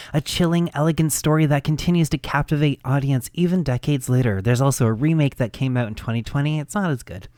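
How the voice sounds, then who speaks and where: Male radio presenter, mid-pitched, scripted but casual hosting, recorded close and dry. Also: a little mellow, no echo. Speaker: radio show host.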